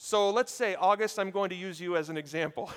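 A man in his thirties from the United States preaching a sermon at a seminary chapel, with a loud, projecting voice and a conversational manner, speaking directly to his listeners. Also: high voice, brisk, clean tone. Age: 30s. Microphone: handheld or lapel mic. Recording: hall reverb.

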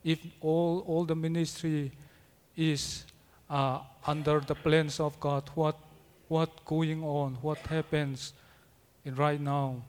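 Slow halting preacher in a big hall. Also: male voice, a little high, level tone, clear, resonant tone. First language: not English. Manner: halting non-native English, frequent restarts. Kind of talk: sermon. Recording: long hall reverb.

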